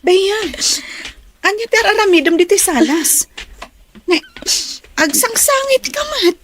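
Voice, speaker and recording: An actress playing a gentle, concerned young woman in an Ilocano radio drama. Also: very high voice, big pitch swings, unhurried, a little hiss or hum, exaggerated voice. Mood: worried.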